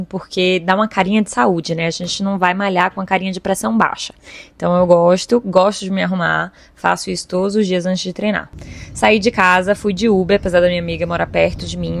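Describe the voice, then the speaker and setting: Low, gravelly-voiced woman vlogger. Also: fairly even intonation, brisk, no room sound. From Salvador, Brazil. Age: 30s. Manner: chatty, addresses viewers directly. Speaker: content creator. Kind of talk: vlog voice-over narration.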